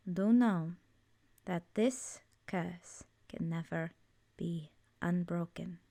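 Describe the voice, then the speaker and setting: Lady doing an old swamp witch seer for asmr, breathy n whispery, low voice, super dry close sound, cryptic but fond. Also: darkish tone, dry recording. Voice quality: breathy, often dropping into whisper. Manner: measured, cryptic, solemn, addressing listener as dear. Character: ancient cursed swamp witch seer, ominous yet fond. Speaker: ASMR roleplay performer. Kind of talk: ASMR roleplay, slow soft-spoken monologue.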